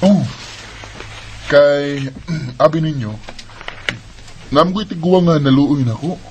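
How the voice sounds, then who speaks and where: A man's voice: on the high side, slow, a little hiss or hum, low-quality recording. A man acting a caring, hardworking elder brother in a Bisaya radio drama, earnest and reassuring.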